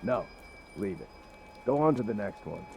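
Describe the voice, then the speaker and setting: An actor playing a bossy, hot-tempered bandit leader in a video game, barking threats in a gravelly voice. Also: male voice, very animated, moderate pace, dark tone, slight background noise. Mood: curt. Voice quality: gravelly, high-pitched. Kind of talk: scripted video game voice acting.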